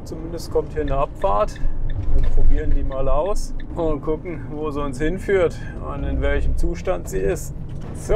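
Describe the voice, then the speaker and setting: A man giving casual, laid-back travel commentary with dry, colloquial wit. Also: on the high side. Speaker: travel vlogger. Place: on the move, in a vehicle and outdoors. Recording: clear outdoor and vehicle noise.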